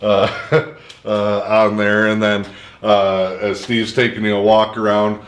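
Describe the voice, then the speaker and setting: Low-voiced man hosting, in a roomy echo. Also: completely flat delivery, takes it slowly. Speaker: host and interviewer. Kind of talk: casual local interview. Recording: roomy echo, music underneath.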